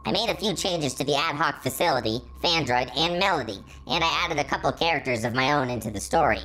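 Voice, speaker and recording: A woman's voice: low voice, animated, fast, a bit bright, poor audio. A woman hosting a story reading, narrating fan fiction and adding her own commentary. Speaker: story reader, channel host.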